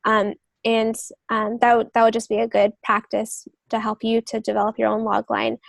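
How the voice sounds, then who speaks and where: A woman chats on a podcast in a fairly high voice with clear vocal fry. She gives advice informally, in loosely phrased thoughts. The recording is dry and close-sounding. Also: completely flat delivery, moderate pace, no echo. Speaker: literary agent and novelist giving advice. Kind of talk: casual podcast chat.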